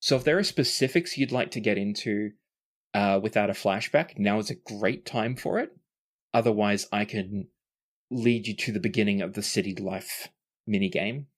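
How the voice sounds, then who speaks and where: A person with a medium-pitched voice, narrating a tabletop roleplaying game casually as game master. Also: normal speed.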